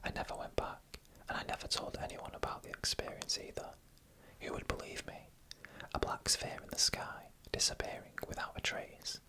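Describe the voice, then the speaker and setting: A narrator reading scripted stories in an ASMR style, in a breathy, unvoiced whisper with hushed storytelling delivery. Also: moderate pace, poor audio.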